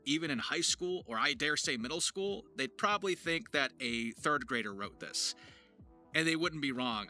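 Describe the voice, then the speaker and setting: A man's voice: on the high side, bright, forceful tone. A man narrating a video to his viewers as a voice actor and writer, in a chatty, emphatic, informal way.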